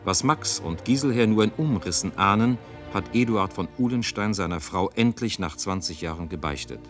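Male narrator for radio drama recap, deep voice, scripted read over faint music. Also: animated, normal speed.

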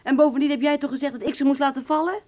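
A woman's voice: high-pitched, fairly even intonation, very fast, mellow, close to an everyday voice. Radio play, slightly dull sound: woman as unpolished, outspoken young girl, feisty and easily affronted. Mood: wary, surprised. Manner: blunt, questioning, easily indignant. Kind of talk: Dutch radio play acting.

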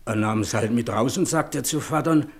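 A jovial country horse dealer in a naturalistic radio drama. His voice is middle-pitched, with a Silesian dialect, and he talks in a folksy, teasing storyteller's way. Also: moderate pace, breathy edge. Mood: storytelling, sly. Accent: Silesian German. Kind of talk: naturalistic German radio play acting in dialect.

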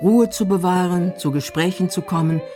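A woman's voice: fairly low pitch, normal speed, over music. A woman from Germany, reading scripted documentary narration.

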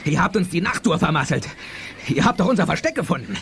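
An actor playing a furious, threatening crook in a children's radio play, with a gravelly, blustering voice. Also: male voice, on the high side, big pitch swings, fast talker, noisy background. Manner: blustering, menacing. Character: furious, threatening male gangster thief.

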